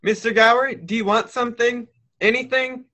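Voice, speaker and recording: A man's voice: extremely high pitch, fairly even intonation, normal speed, pushed and bright. A teenage boy playing a cocky, eager twelve-year-old with big dreams in a staged radio play. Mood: anxious, polite.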